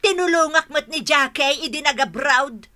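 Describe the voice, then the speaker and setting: A greedy, pushy aunt from an Ilocano radio drama. Her voice is high and very sharp, with an Ilocano accent, and she whines and presses relentlessly for what she wants. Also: normal speed. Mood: greedy, insistent. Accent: Ilocano, northern Philippines. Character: pushy, grasping aunt angling for package gifts.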